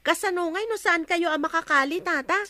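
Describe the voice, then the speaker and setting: A woman's voice: extremely high pitch, fairly quick, pushed and bright, no echo. A woman with an Ilocano accent playing a sassy young shopkeeper who refuses credit, in a Filipino comedy radio drama. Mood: sassy, refusing.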